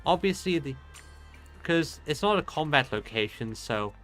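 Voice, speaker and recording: Male let's-play host: forward, bright tone, rambling casual delivery, faint game music underneath. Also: fairly high pitch, expressive, measured pace. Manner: rambling, hesitant thinking aloud. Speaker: game streamer. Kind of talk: casual let's play commentary, reading dialogue aloud.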